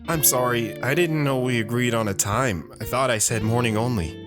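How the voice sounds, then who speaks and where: A man's voice: medium pitch, normal speed, music in the background, natural voice. An actor playing an awkward, apologetic teenage boy. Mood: unsure. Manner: apologetic, unsure.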